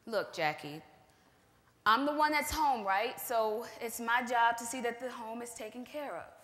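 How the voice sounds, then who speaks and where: A woman's voice: a little high, unhurried, a bit bright. A woman on stage playing an evasive, defensive wife who covers for her husband. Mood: nervous, defensive. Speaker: stage actor. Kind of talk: stage play acting. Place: indoor theater stage. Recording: echoey stage hall with long reverb.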